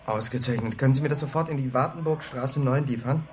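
Give a frantic small-time hustler played by a male actor in a radio play. He talks very quickly, stammering and pleading, glib even under pressure. There is some noise behind him. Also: mid-range voice, lightly acted. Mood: glib, urgent. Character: frantic, fast-talking small-time con man and street musician. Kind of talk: naturalistic radio play acting.

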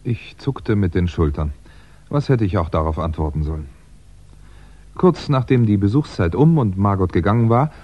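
An actor playing a wry, composed crime writer in a 1960s German radio drama, telling his story in a measured, conversational way with hesitant asides. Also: deep voice, dark tone. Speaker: actor playing narrator and lead. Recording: dull, band-limited 1960s radio recording.

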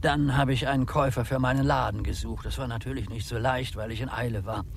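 A male actor plays a middle-aged small-town undertaker, shy and earnest, in a radio drama. His medium-pitched voice moves hesitantly and politely through each phrase. A clear rumble of vehicle noise runs behind him. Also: steady tone, close to an everyday voice. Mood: plain recollection. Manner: hesitant, courteous, halting. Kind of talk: German radio drama acting.

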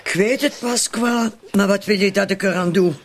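An actress playing a worried, defensive accomplice in a radio drama. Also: female voice, mid-range voice, moderate pace, lightly acted. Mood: weary, worried. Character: worried, defensive woman accomplice needing reassurance.

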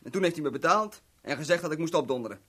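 Radio play casting: chummy kidnapper, cocky and scheming, lighter-pitched husky voice, unhurried, boastful storyteller. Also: male voice, animated, a bit bright. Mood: chummy, explaining. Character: small-time crook Tony, cocky, chummy, scheming kidnapper. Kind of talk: 1970s Dutch radio drama acting.

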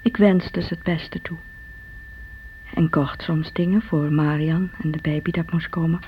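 A woman's voice: sits low, steady tone, measured pace. An actress playing an envious, bitter sister, confiding her brooding recollections in a radio drama. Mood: resentful. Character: Irene, envious, bitter divorced sister, self-doubting. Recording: dull radio recording with faint hum.

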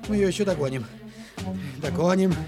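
A man playing an excitable, blustering sausage seller in an audio fairy tale, spluttering and repeating himself. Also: sits high, fairly quick, over music, lightly acted. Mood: frantic, furious. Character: blustering, excitable male sausage-shop keeper, easily outwitted. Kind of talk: Soviet 1970s radio-play acting.

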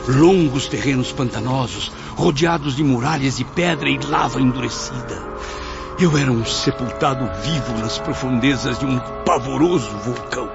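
A man's voice: mid-range voice, moderate pace, creaky, natural voice. A man voicing a tormented male suicide who tells his own ordeal in dramatic first-person narration. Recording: dull lo-fi with loud music.

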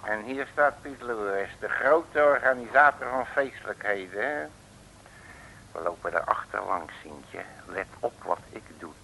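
A man's voice: on the low side, lively intonation, measured pace, vocal fry, bright, forceful tone, full character voice, poor audio. A man playing a brash, cocky, boastful detective in a 1950s radio serial, bossy and full of colourful folksy slang. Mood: commanding. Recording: old radio recording.

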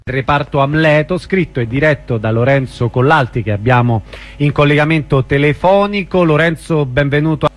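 A man hosting a radio theatre show in a studio, introducing guests and announcing shows in a measured way. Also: a little high. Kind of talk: radio interview chat.

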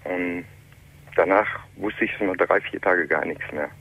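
A man in his late thirties from Iran, calling in to a radio talk show and telling his story in halting, German. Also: deep voice, husky. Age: 30s. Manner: halting, plain non-native German. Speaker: caller. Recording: muffled telephone line.

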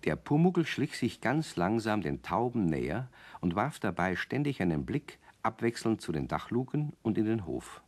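A man's voice: lowish voice, sing-song, moderate pace, few pauses. A man narrating a children's radio play in a scripted storytelling style.

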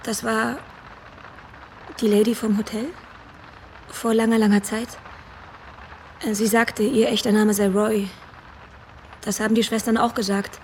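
A woman's voice: on the high side, little pitch movement, slight background noise. An actress playing an anxious, polite young woman searching for answers in a radio drama, speaking hesitantly. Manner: hesitant, halting. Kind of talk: German radio drama acting.

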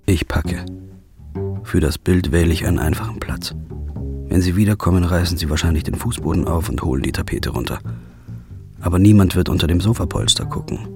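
A man playing a weary, haunted first-person narrator in a radio drama, with a dark, brooding delivery. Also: extremely low pitch. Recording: music underneath.

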